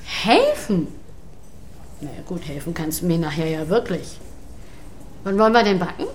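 A woman's voice: lowish voice, animated, moderate pace, slight background noise, natural voice. A woman playing a questioning grown-up of a hotel household in a children's radio play.

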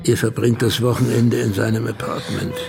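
A fatalistic male narrator with an extremely creaky, gravelly voice. He delivers terse, poetic radio drama narration in an intimate, solemn way. Music plays clearly underneath. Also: mid-range voice, steady tone, moderate pace. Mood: somber, detached. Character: brooding second-person narrator, intimate and fatalistic.

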